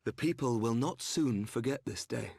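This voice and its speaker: A courteous knight commander with a mid-pitched voice, recorded dry and close. He speaks formally and with dignity, in the archaic manner of a fantasy game. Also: gravelly, darkish tone, slightly rough, no room sound. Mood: gracious, solemn. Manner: formal, measured archaic speech. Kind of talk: fantasy game cutscene acting.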